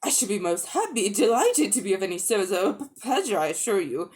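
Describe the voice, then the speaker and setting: Woman as a stammering, fawning old gentleman. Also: medium pitch, sing-song, brisk, close to an everyday voice. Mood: eager, cowed. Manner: stammering, obsequious, flustered. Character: nervous, fawning, gray-haired old gentleman magician. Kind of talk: dramatic storybook reading.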